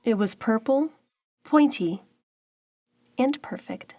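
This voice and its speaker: A woman narrating a children's storybook aloud in a dramatic, suspenseful way with deliberate pauses. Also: on the high side, lively intonation, dark tone, no echo. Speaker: storybook reader. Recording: faint background music. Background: faint music.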